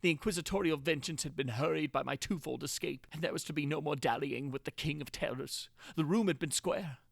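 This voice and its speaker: High-voiced man, theatrical gothic horror narrator. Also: brisk, creaky, no echo, clean studio sound. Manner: theatrical, intense delivery with big swings from hushed to crying out. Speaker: narrator reading classic horror tales. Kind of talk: dramatic reading of gothic horror.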